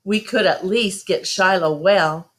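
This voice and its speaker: A woman's voice: medium pitch, unhurried, close to an everyday voice. Earnest, pleading country boy, read by a woman. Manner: pleading, stubborn, folksy phrasing.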